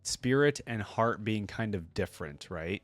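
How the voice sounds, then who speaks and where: A man's voice: on the low side, animated, measured pace, no room sound. A man giving casual, enthusiastic reaction commentary as a video host, informal and chatty, on a close mic. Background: faint music, game voice lines.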